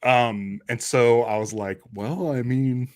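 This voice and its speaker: A man's voice: medium pitch, slow, a little mellow. A man chatting informally as a podcast panelist, talking in a loose, conversational way. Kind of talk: casual chat about a radio drama.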